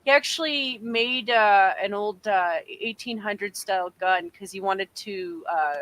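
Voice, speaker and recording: A woman's voice: mid-range voice, measured pace, fairly bright tone. A Canadian woman chatting casually on a podcast, rambling with lots of fillers and restarts. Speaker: podcast co-host. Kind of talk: casual chat.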